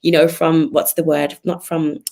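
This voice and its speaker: A woman's voice: deep voice, little pitch movement, moderate pace, vocal fry, darkish tone. A woman hosting a casual remote interview. She laughs often and searches aloud for words as she asks her questions. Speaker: host.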